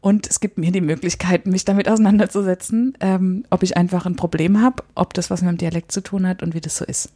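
A woman co-hosts a podcast in a thoughtful, chatty way. Her voice sits low and is husky and mellow. The sound is dry and close. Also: steady tone, fast, hardly any pauses, no room sound. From Palatinate, Germany. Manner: reflective, laughs often, self-correcting. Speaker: podcast co-host. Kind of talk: casual podcast chat.

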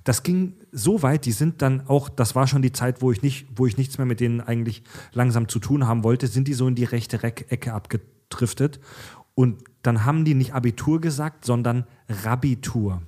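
A man co-hosting a podcast, talking bluntly and with heat, swearing freely. His voice sits at an ordinary middle pitch, and he speaks in plain everyday tones. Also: normal speed, mellow. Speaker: podcast co-host. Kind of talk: casual podcast chat.

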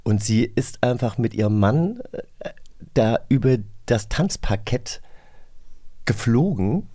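A man's voice: mid-range voice, very animated, slow pace, dark tone. Chatty male podcast co-host. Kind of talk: casual chat.

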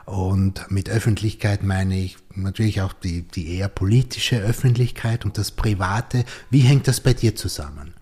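A man's voice: low voice, normal speed, hardly any pauses, warm tone, breathy edge. A man hosting a podcast interview, thinking aloud with fillers and tag questions. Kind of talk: conversational interview.

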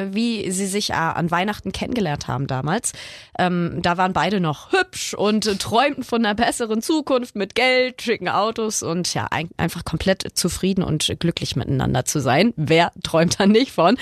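A woman's voice: medium pitch, no echo. A woman presents a music show. Her delivery is conversational and explanatory, partly scripted. The sound is dry, with hardly any room around the voice. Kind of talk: semi-scripted music storytelling.

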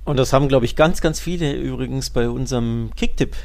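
A man's voice: mid-range voice, a little fast, creaky, darkish tone. A man co-hosting a football podcast, explaining matches casually and restating points for emphasis.

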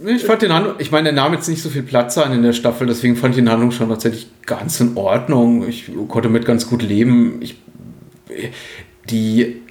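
A man's voice: mid-range voice, normal speed, darkish tone, roomy sound. A man co-hosting a podcast, chatting casually and hesitantly with many fillers and restarts. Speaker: podcast co-host. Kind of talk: casual rewatch podcast chat.

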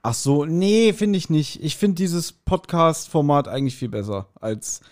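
A man's voice: a little high, animated, a little slow, darkish tone. A middle-aged man, co-hosting a podcast in casual conversation. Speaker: podcast co-host. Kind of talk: casual chat.

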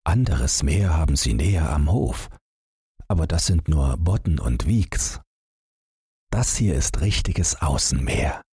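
A man narrating an audiobook story, reading scripted literary prose in measured, deliberate phrases with short pauses. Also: rumbling low, lively intonation, dark tone, slightly rough, dry recording, studio quality.

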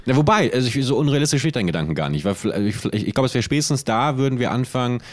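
A man co-hosting a podcast in casual, comedic chat, playfully animated and often stammering and repeating words as he goes. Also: medium pitch, rapid-fire. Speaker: podcast co-host.

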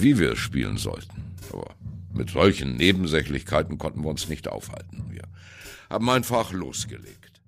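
An ageing jazz musician tells his story over music in an extremely low voice. His delivery is wry and theatrical, swinging widely in pitch. He phrases deliberately, as in a radio play monologue. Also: creaky, exaggerated voice. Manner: wry, dramatic, deliberate phrasing with colloquial turns. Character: ageing male jazz musician, wry, reminiscing on postwar youth.

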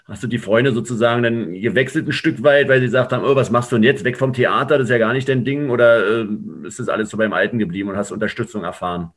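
A man's voice: medium pitch, fast talker, hardly any pauses. A man co-hosting a podcast interview, asking his guest questions in a casual, conversational style.

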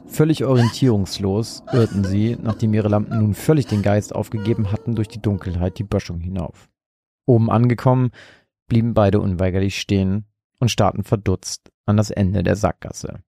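A man's voice: lowish voice, vocal fry, mellow. A man narrating a scripted suspense story as a storyteller.

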